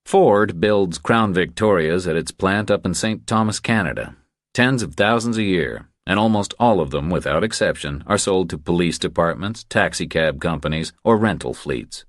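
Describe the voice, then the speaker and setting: A man's voice: low voice, animated, normal speed, no room sound, clean studio sound. A man reading a thriller audiobook as its narrator, in a scripted storytelling style.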